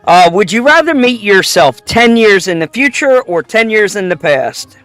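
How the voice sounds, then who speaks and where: Lively high-voiced male host. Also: moderate pace, no room sound, soft background music. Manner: joking, laughs often, calls guest bro and buddy. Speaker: show host. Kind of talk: playful rapid-fire question game.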